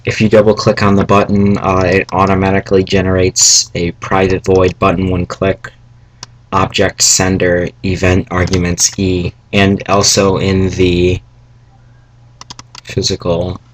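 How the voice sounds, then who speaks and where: A young man a little raspy from salty food, giving a rambling, halting coding tutorial from home at his computer, with fillers and asides. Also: deep voice, monotone, poor audio. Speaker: tutorial maker. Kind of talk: casual screen-recorded coding tutorial. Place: home room, family noise around. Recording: faint background noise, keyboard and mouse clicks.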